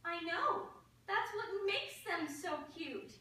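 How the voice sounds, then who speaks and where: Very high, distant-miked actress as stern but scatty schoolmarm, theatrical, illogical. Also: female voice, animated, slow, bright, forceful tone, exaggerated voice. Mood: gushing. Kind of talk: reader's theatre stage performance. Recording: very echoey room, distant mic, faint noise.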